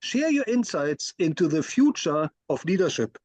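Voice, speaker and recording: A man's voice: a little high, very animated, normal speed, a little mellow, dry recording. A man hosting an interview, asking questions in a polite, friendly way. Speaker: interview host.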